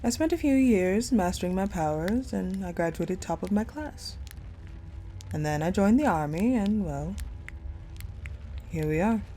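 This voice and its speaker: A woman playing a cold, possessive female general in an intimate audio roleplay monologue, speaking in a hushed, deliberate way with pauses. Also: medium pitch, lively intonation, slight background noise, natural voice, low-quality recording. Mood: cold, resolute. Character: cold, possessive ice general confiding in her captive beloved. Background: music.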